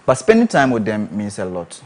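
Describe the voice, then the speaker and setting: A man guesting on a talk show, relaxed and laughing easily as he explains with examples. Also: on the low side, fairly quick, slight background noise. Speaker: guest content creator. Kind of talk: casual interview chat. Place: TV studio.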